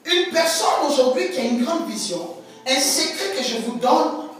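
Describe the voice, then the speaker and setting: High-voiced woman preaching in an echoey hall. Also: animated, normal speed, a little hiss or hum. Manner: emphatic, repeats words, stretches key phrases. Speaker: prophetess preaching. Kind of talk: sermon. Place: church hall. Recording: echoey church hall, distant mic, faint music.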